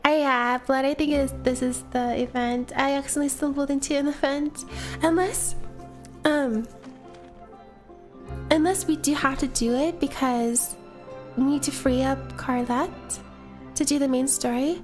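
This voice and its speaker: A woman's voice: high-pitched, a little slow, long pauses, soft background music. Chatty stuffy-nosed woman streamer. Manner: chatty, talks to viewers between game lines. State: stuffy from a cold. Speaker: streamer playing a game. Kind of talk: casual live-stream chat.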